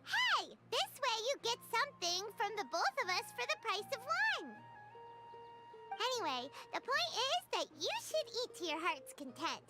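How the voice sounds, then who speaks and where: A woman voicing a tiny, chirpy female fairy companion in a video game, with a squeaky, childlike voice and a bouncy, bossy manner. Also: sing-song, moderate pace, loud music behind the voice.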